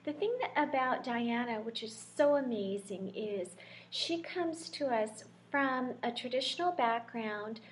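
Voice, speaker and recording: A woman's voice: a little high, measured pace, a little hiss or hum. A woman hosting a casual podcast, upbeat and welcoming as she introduces a guest. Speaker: podcast host.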